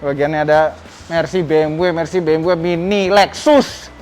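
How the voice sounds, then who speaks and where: A man's voice: a little high, moderate pace, a little mellow, faint music behind. An Indonesian man hosting a car vlog, chatting casually and teasing his guest with short, clipped questions and replies. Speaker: car channel host. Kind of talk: casual chat, showing cars and questioning a guest.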